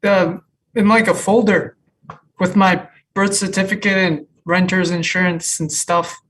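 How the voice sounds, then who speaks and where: A woman's voice: lowish voice, level tone, unhurried, slight background noise, close to an everyday voice. A woman playing a mild, orderly male job seeker in a comedy table read, plain and puzzled. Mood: puzzled, earnest. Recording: distant from the mic.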